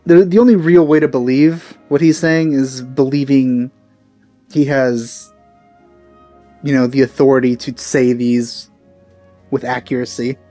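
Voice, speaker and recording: A man with a mellow, soft-edged voice of middling pitch comments casually on a video game. He muses and speculates aloud, with faint game music behind him. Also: steady tone, measured pace, long pauses. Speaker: let's play host. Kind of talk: casual blind playthrough commentary.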